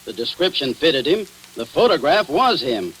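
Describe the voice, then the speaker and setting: A man's voice: a little high, sing-song, moderate pace, a bit bright, low-quality recording. An actor in an old radio drama playing an easygoing, chatty small-town policeman with a folksy, colloquial manner. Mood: defensive. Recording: hissy vintage radio broadcast recording.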